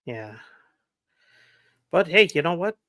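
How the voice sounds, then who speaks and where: A man's voice: fairly high pitch, big pitch swings, takes it slowly, a bit bright, no room sound, clean studio sound. A man co-hosting a casual horror podcast, chatty and easygoing, a bit sniffly from allergies. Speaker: podcast co-host.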